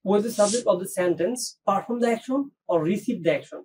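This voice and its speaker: A man's voice: sits high, very slow, distant mic, noisy background. A man with a Bangladeshi accent giving an instructional lecture as a teacher, explaining by asking questions, answering them and repeating key phrases, mixing in English terms. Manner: code-switches with English terms.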